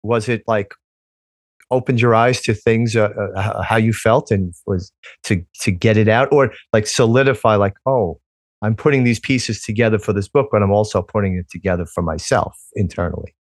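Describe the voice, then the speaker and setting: A podcast host, a man with a North Jersey accent, speaks in a low voice. He asks his questions haltingly, stopping to search for words. The sound is dry and close. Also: no echo. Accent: American, North Jersey. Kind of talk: casual interview talk.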